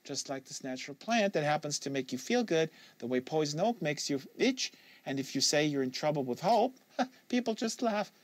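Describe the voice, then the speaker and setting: A man voicing a depressed, anxious young woman confessing haltingly, as an audiobook character. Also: on the high side, big pitch swings, dry recording. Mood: defensive, pleading. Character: depressed anxious young woman, pot-addicted psychiatric patient.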